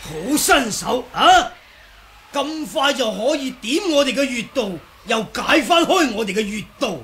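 A man's voice: extremely high pitch, expressive, measured pace, a bit bright, heavily acted. A man with a Hong Kong Cantonese accent playing a blunt, proud older martial artist in a radio drama. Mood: blunt, resigned. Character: gruff, proud older male martial artist, senior brother. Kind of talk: 1980s radio drama acting. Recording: noisy old 1980s radio recording.

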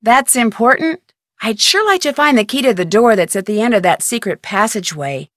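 Bright young girl detective. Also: on the high side, animated, fairly quick, dry recording, studio quality. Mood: friendly. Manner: inquisitive, earnest questioning. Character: curious young female amateur detective, polite and inquisitive. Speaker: game protagonist. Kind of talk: recorded game dialogue lines. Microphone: close studio mic.

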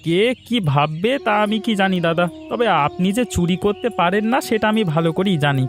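Man doing a loyal careful village buddy for a cartoon, high pitched, earnest n respectful, soft music under. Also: fairly quick. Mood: reassuring. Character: loyal, cautious village man, deferential younger friend. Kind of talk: dramatic cartoon dialogue.

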